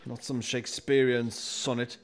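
A man's voice: mid-range voice, flat delivery, moderate pace, natural voice. A man playing a portly magazine boss in an audio play, officious, blunt and fussy. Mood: exasperated.

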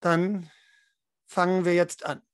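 A man's voice: sits high, monotone, slow, smooth, no echo, clean studio sound. A man leading a tabletop role-playing game as game master, explaining and narrating. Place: indoor room at home, online video call.

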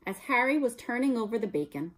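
A woman's voice: a little high, very animated, fast talker, poor audio. A middle-aged American woman, a teacher reading a children's story aloud expressively. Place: small room at home, indoors.

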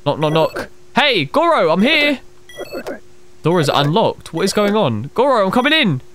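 A young man plays a horror game, reading its text aloud and reacting with quick exclamations and asides to viewers. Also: sits high, sing-song, a bit bright, a little hiss or hum. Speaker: gaming streamer. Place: small room at a desk. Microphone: headset. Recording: game music playing under the voice.

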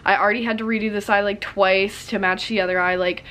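A woman's voice: medium pitch, fairly even intonation, quick pace, a bit bright. A young woman in her twenties chatting casually to camera while doing her makeup at home, with a slightly congested, sore throat. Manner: chatty, lots of "like" fillers. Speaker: beauty vlogger. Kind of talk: casual get-ready-with-me chat. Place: bedroom at home. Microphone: camera in front, close framing.

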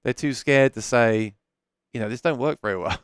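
A man's voice: mid-range voice, normal speed, clear, resonant tone, dry recording, clean studio sound. Chatty man on a headset mic. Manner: chatty, candid, frequent restarts. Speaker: live stream host. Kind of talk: casual live chat answering viewer questions. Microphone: headset microphone.

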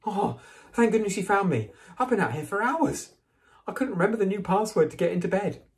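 A hapless young schoolboy in a storybook, played by a man, pleads in a high, plaintive voice. He sounds frightened and falters, with lively swings in tone. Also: a little fast, recorded from a distance. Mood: relieved, plaintive. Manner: plaintive, stammering.

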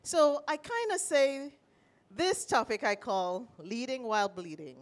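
A middle-aged woman with a Trinidadian accent, sharing a personal story at a panel with animated, emotional delivery, speaking into a handheld mic. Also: fairly high pitch, unhurried. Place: panel stage in a large indoor room.

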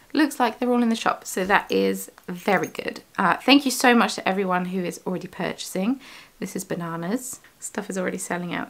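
A woman's voice: lowish voice, vocal fry. Chatty woman rambling on camera. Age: young adult. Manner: chatty, rambling, many fillers and self-corrections. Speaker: yarn shop owner and vlogger. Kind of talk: casual studio vlog. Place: living room at home, indoors. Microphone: camera on desk at close range.

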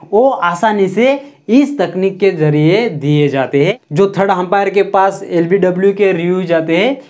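A man's voice: high-pitched, darkish tone. Young male teacher at a whiteboard. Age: young adult. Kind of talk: whiteboard lesson. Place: indoor room in front of a whiteboard. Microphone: lapel mic.

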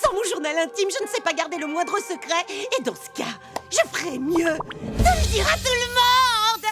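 A woman voicing a gushing, clingy female cartoon cat who talks in an expressive, melodramatic, drawn-out way. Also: extremely high pitch, loud music behind the voice. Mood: fretful. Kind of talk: children's cartoon dub.

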